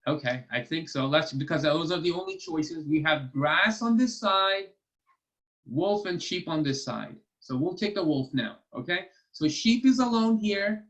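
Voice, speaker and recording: A man's voice: on the high side, animated, measured pace, a bit bright. A man hosting a children's storytime and trivia over a video call, explaining step by step in a patient, encouraging way. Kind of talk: casual teaching to children.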